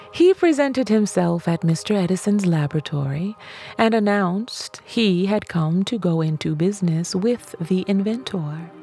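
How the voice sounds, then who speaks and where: A woman reading an audiobook as scripted narration, in a measured, deliberate way with emphatic phrasing. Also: lowish voice, vocal fry, dark tone, husky. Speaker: audiobook reader.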